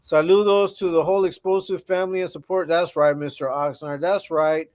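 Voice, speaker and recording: A man's voice: on the high side, steady tone, brisk, a little mellow. A man in his forties hosting a casual livestream, chatting laid-back with viewers and often repeating himself. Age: 40s. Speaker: livestream host.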